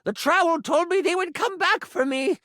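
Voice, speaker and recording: High-pitched bumbling old gnome. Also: male voice, normal speed, pushed and bright, no echo, studio quality. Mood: fretful, dazed. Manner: fretful, rambling, kindly. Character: tiny, bumbling old gnome groundskeeper, kind-hearted and fretful. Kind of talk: fantasy game character acting.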